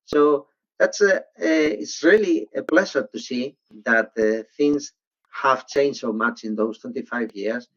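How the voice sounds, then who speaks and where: A man from Spain with a Spanish accent, speaking conversationally as a panelist and haltingly searching for words. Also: fairly bright tone. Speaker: panelist, banking technology executive. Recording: muffled low-fidelity online call audio.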